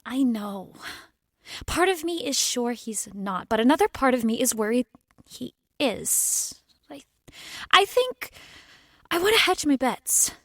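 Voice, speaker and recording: Spoiled young 1920s rich girl, high voice that swings around a lot, flustered n defiant, radio play. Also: sing-song, unhurried, fairly bright tone, no room sound, clean studio sound, exaggerated voice. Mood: troubled, hesitant. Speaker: actor. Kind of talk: 1920s period audio drama acting.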